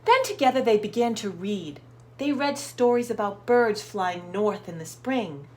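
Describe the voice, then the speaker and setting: A woman hosting children's storytime, reading expressively to young listeners and addressing them directly. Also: mid-range voice. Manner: slow, expressive, sounds out words and asks listeners questions. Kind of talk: picture book read-aloud for young children.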